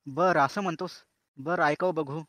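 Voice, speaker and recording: A chatty cartoon show host, played by a man, speaks colloquial banter in a fairly high voice. The sound is very dry, and he teases contestants while addressing viewers like friends. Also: fairly even intonation, normal speed, a bit bright, dry recording, natural voice. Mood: breezy, hosting. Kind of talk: comic cartoon show presenting.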